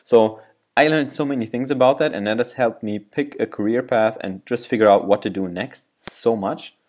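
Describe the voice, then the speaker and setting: A man's voice: mid-range voice, normal speed, a little mellow. A young man whose first language is German, explaining a self-reflection exercise in a loose, rambling way with restarts. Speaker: video creator giving self-improvement advice. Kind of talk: casual explainer talking to camera.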